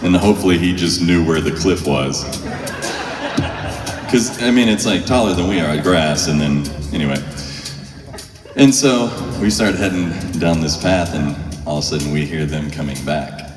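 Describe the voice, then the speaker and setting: Male stage storyteller, low voice, colloquial and wry, heard from a distance in an echoing hall. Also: normal speed. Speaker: performer telling a story on stage. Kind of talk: humorous live storytelling to an audience. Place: large hall, live stage show. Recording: echoey hall, distant, music behind.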